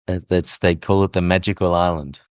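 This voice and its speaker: Guy hosting a chat, deep voice, dry mic, keeps asking follow-ups. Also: steady tone, a little fast, no room sound. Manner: curious, prompting questions, short backchannels. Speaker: podcast host. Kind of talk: casual interview.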